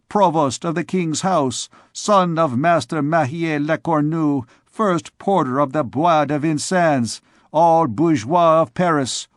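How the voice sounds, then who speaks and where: A man's voice: high voice, no room sound, clean studio sound, pitched above the performer's own voice, close to an everyday voice. A man voicing an impish young male student who teases and boasts in an old storybook tale. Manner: teasing, quick and boastful.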